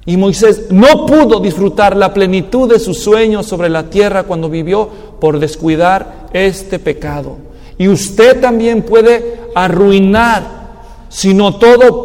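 A man's voice: high voice, a little mellow. A man preaching a sermon in an emphatic manner, now and then mimicking people's excuses. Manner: emphatic, acts out excuses in a mocking tone. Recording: long hall reverb.

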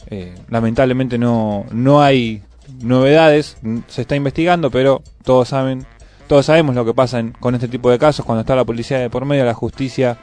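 A man's voice: medium pitch, fairly even intonation, fairly quick, darkish tone, faint music behind. A man with a Buenos Aires area Argentine accent, co-hosting an informal live radio talk show. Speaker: radio co-host.

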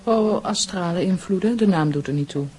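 Radio play: serious young female medium, knowing, cool, slightly breathy-rough. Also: fairly low pitch, normal speed, warm tone, poor audio. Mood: mysterious, pointed. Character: serious, enigmatic young woman, nurse and psychic medium. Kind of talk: radio drama acting in Dutch.

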